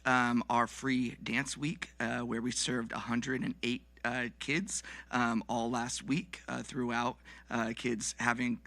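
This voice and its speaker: A man with a slightly raspy voice, worn from recent yelling, giving public comment as a nonprofit founder. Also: mid-range voice, dry recording. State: voice recovering from yelling. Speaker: nonprofit dance organization founder. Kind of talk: public comment at a council meeting.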